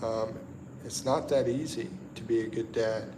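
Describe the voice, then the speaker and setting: A middle-aged man from the Carolinas, chatting casually on a livestream, easygoing and halting with lots of ums and restarts. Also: fairly low pitch. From the Carolinas, USA. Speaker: livestream host. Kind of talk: casual livestream chat, reading viewer comments. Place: indoors at home in the Philippines at night. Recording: echoey room with steady background noise.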